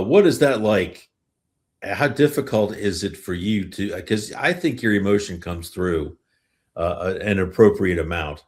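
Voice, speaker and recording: A middle-aged man hosting a sports podcast, asking and reacting in a conversational interview style. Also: sits low. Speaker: podcast host.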